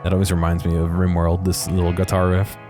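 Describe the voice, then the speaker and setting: A man's voice: deep voice, completely flat delivery, quick pace, music in the background. A man giving casual, unscripted video game commentary, hesitantly thinking aloud as he goes.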